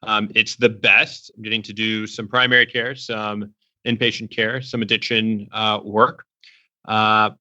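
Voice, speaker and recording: A man's voice: fairly low pitch, little pitch movement, unhurried, pushed and bright. A man co-hosting a medical podcast, talking in an enthusiastic, joking way. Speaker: podcast co-host, physician. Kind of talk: casual podcast banter. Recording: slightly dull audio.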